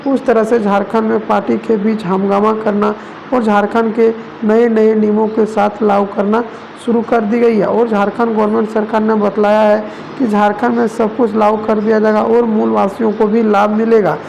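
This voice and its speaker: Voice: mid-range voice, little pitch movement, moderate pace, vocal fry, darkish tone, highs cut off. A news presenter narrating regional reports in wordy, repetitive phrasing. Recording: noticeable background noise.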